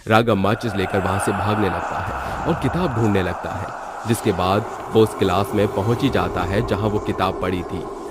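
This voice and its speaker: A man's voice: on the low side, moderate pace, heavy background noise. A man reading scripted horror story narration as the narrator. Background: music.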